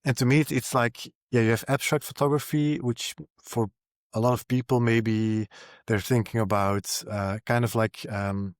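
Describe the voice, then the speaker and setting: A man's voice: mid-range voice, fairly even intonation, normal speed, dry recording, clean studio sound. A man hosting a podcast in a casual, hesitant way, rambling a little and repeating words as he goes. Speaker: podcast host. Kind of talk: casual interview introduction and chat.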